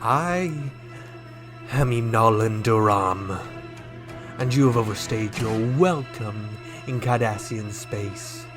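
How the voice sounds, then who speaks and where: An actor playing a suave, menacing alien ambassador in a sci-fi radio drama, speaking in a measured, formal, theatrical way. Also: male voice, on the low side, music in the background. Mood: coolly threatening.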